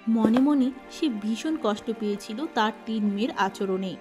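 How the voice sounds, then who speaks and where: A woman's voice: fairly high pitch, measured pace, over music. A woman storyteller reading scripted narration for a cartoon moral story.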